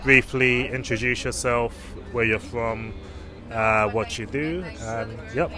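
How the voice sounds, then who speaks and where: A man conducting an interview puts his questions in a loose, hesitant way. His voice sits at an ordinary middle pitch. Music plays clearly behind him throughout. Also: fairly even intonation, slow.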